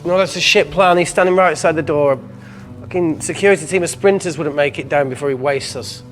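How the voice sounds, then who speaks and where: A man's voice: fairly high pitch, fairly quick, soft background music, close to an everyday voice. A man acting a cynical, blunt, cornered male colleague in a naturalistic interactive film drama. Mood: heated, urgent.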